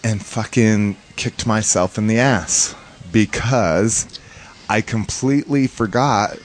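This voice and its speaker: A man from the United States chatting casually as a podcast host, in a rambling, halting, informal way. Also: lowish voice, a little hiss or hum. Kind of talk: casual chat, recounting a fan convention.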